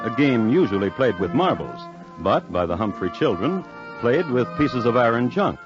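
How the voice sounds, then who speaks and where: A man narrating a scripted old-time radio crime story in a droll, formal way. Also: lowish voice. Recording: muffled vintage radio recording. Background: dramatic music.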